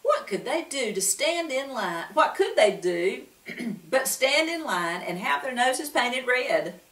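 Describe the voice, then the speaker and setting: A woman's voice: high voice, sing-song, slow pace, fairly bright tone. A woman reading a children's story aloud expressively, with asides to her listeners. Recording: roomy room, distant mic.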